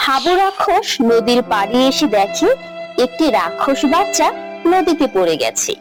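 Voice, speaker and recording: A woman's voice: sits high, very animated, moderate pace. A woman narrating a scripted children's story. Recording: music behind the voice.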